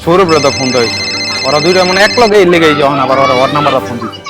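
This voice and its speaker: A man's voice: on the high side, very fast, close to an everyday voice. A man with a Bangladeshi Bengali accent, acting a resentful young debtor with colloquial speech in an amateur short drama. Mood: sullen. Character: resentful young male debtor avoiding his friends. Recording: very loud music over dialogue.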